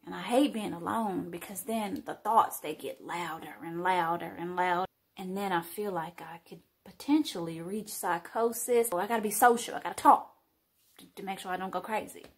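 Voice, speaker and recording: A woman voicing a restless, chatty person who rambles excitedly and cannot stop talking. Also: lowish voice, breathy edge, voice pushed down. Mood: manic chatter. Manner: rapid, excited rambling with sudden swings.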